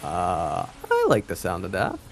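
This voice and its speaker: A man's voice: low voice, wildly swooping pitch, measured pace, creaky, soft background music, pitched below the performer's own voice, heavily acted. A man voicing a wary, smitten visual novel protagonist with dry, dramatic delivery. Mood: agreeable.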